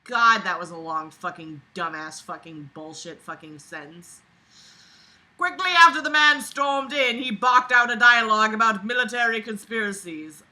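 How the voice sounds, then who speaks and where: A woman's voice: on the high side, animated, moderate pace, sharp tone, poor audio. A woman reading a book aloud and breaking in with sarcastic, exasperated, foul-mouthed commentary. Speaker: online book reader and commentator.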